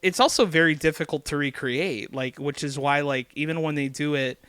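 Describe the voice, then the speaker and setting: A man's voice: on the high side, a little fast, fairly bright tone, clear, resonant tone, dry recording. A man guest on a music podcast, a singer-songwriter talking casually and enthusiastically, rambling with lots of fillers.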